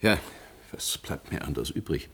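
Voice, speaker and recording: An actor playing a dignified, guarded research council president in a radio play, formal, precise and defensive. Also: male voice, rumbling low, animated, normal speed, fairly bright tone, breathy edge, heavily acted. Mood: resigned, stiff. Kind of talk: German radio play acting.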